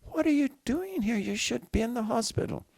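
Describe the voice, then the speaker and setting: Anguished Frenchwoman, theatrical storybook mother, played by a man, raised pitch, swooping delivery. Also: expressive, a little fast, vocal fry, darkish tone, no room sound, exaggerated voice. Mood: panicked. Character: Zuzu, anguished, dramatic French mother, woman.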